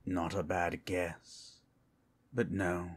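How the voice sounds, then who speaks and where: Man voicing an ancient undead sorcerer, very deep and slow. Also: level tone, heavily acted. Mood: measured, imperious. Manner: slow, deliberate, formal. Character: ancient undead collector, calm, stubborn, menacing male sorcerer. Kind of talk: audio roleplay drama.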